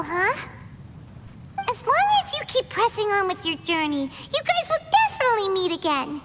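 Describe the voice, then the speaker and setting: A woman voicing a tiny, excitable, fretful fairy companion with a squeaky childlike voice in a video game. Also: heavy background noise. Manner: exclaiming, refers to self in third person. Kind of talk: video game cutscene acting. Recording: long reverb, music behind.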